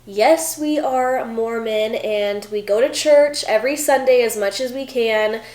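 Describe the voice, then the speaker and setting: Young woman doing a q&a vlog, kinda stuffy from a cold, chatty with lots of likes and ums, slightly high voice, echoey room. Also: unhurried, a little mellow. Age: 20s. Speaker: vlogger, former college gymnast. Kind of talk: casual Q&A vlog.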